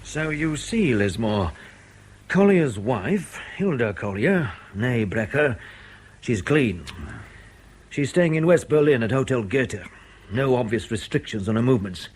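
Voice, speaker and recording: An actor playing a methodical intelligence officer in a radio drama, talking in a colloquial, argumentative way. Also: male voice, medium pitch, animated, a little slow, a little mellow, slightly rough. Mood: businesslike. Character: brisk, reasoning male intelligence station officer. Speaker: intelligence officer briefing a colleague.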